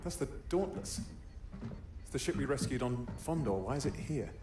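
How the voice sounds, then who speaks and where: An actor playing a young, conflicted Imperial soldier in a video game, questioning with intensity. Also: male voice, medium pitch, lively intonation, normal speed, music in the background, close to an everyday voice. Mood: puzzled. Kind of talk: video game cutscene acting.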